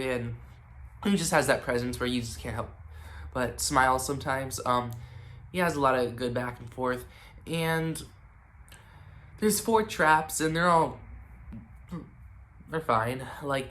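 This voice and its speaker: A man's voice: medium pitch, a bit bright. A man with a congested, stuffy voice giving a casual movie review, rambling and hesitant with lots of ums and restarts.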